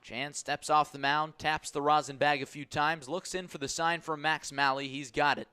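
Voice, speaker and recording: A male radio baseball announcer with a bright, forceful voice pitched a little high. He delivers live play-by-play in short, punchy phrases that build with the action. The sound is close and dry, on a broadcast mic. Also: level tone, normal speed, smooth, no room sound. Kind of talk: live sports play-by-play commentary.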